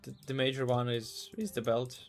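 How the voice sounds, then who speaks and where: Brief: man, game streamer, loose halting chat, mid pitch, faint music and typing behind. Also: flat delivery. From Ukraine. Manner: halting, restarts and repeats words. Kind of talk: casual live-stream commentary.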